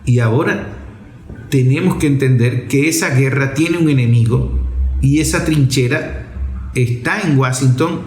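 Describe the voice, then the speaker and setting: A man's voice: mid-range voice, measured pace, a little mellow, reverberant. A man delivering a political commentary monologue emphatically, leaning on rhetorical questions and repeated phrases.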